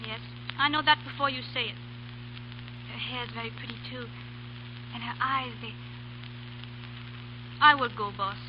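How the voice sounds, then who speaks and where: A woman's voice: on the high side, moderate pace, pauses often, natural voice. A woman with a foreign accent and broken English playing a devoted young girl in a 1930s radio drama. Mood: pleading. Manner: earnest, pleading, broken English. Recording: muffled hissy 1930s radio broadcast.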